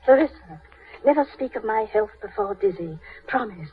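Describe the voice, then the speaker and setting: An actress playing an affectionate, brisk elderly English wife in a 1930s radio drama, fond and reassuring. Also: female voice, medium pitch, expressive, slight background noise, close to an everyday voice. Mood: confiding. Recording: muffled 1930s radio broadcast recording.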